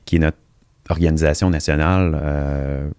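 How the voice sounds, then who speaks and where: A very deep-voiced man explains his industry as a podcast guest. He talks earnestly, often stumbling and repeating words. The recording is dry and close. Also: steady tone, moderate pace, darkish tone, clear, resonant tone, dry recording. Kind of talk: interview answers.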